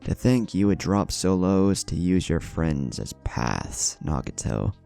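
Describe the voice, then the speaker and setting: Man reading as a cocky low-voiced young ninja. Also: very animated, measured pace, creaky, warm tone, soft background music, exaggerated voice. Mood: confident. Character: cocky, taunting young male ninja, cunning and unbothered.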